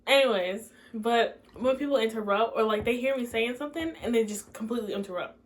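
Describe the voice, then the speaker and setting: A soft-spoken young woman with a fairly high voice shares her thoughts slowly, with pauses and restarts. She speaks in a relaxed chat with a friend. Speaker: co-host. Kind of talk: casual chat while eating.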